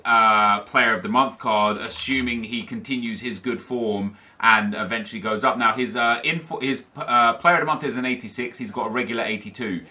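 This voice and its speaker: Energetic young gaming YouTuber. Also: male voice, mid-range voice. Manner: energetic, opinionated, reads viewer comments aloud. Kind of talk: casual commentary to viewers.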